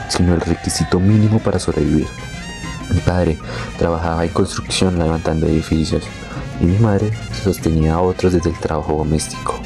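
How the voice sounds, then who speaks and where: A young man reading a scripted, reflective personal narration in a measured, literary way. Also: low voice. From born in Venezuela, moved to Colombia. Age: young adult. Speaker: narrator of his own life story.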